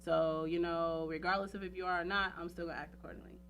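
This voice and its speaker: A woman's voice: fairly low pitch, fairly even intonation, fast talker, a bit bright, a little hiss or hum. A woman in casual, candid chat as a talk show guest, speaking emphatically and rambling with frequent check-in phrases. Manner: emphatic, rambling, repeats "you know what I'm saying". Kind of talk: casual candid chat about personal life.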